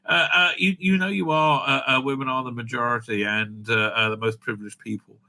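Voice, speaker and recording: A man's voice: mid-range voice, fairly even intonation, fairly quick, sharp tone. A man giving rambling, opinionated commentary as a video host, blunt and dismissive, often repeating himself and swearing. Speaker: YouTube host and reviewer.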